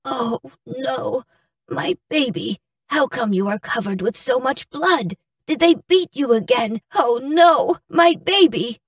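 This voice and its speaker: Woman playing a tender cartoon mother, lively pitch. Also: slow pace, no room sound, far from the mic, full character voice. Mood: distressed. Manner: tender, pleading. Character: loving, grieving old village mother of a gambler. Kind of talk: English-language cartoon fairy-tale acting.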